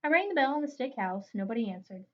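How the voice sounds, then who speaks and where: A woman with a fairly high voice reads a picture book aloud for children. Her delivery is expressive, and the recording sounds a bit dull. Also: fast talker. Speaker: storybook reader.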